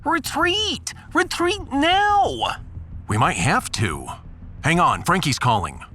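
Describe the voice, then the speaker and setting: A man's voice: sits high, big pitch swings, takes it slowly, pushed and bright, heavily acted. A man voicing a brash starship captain with a punchy, colloquial, commanding manner in a sci-fi audiodrama. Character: brash, fast-deciding starship captain rallying the crew.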